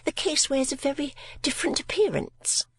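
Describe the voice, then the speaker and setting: A woman voicing a mincing, genteel landlady of fifty in a storybook reading, with a high, breathy, prim and affected manner and dainty little coughs. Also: moderate pace. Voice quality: high and breathy, sometimes whispered.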